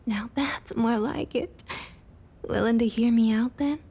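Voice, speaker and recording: A woman playing a smug, opportunistic vampire council member in an ASMR roleplay, with a soft breathy Southern US accent and coaxing, condescending sweet talk. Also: fairly high pitch, unhurried. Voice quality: soft, breathy, slightly husky. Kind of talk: ASMR roleplay, intimate scripted monologue.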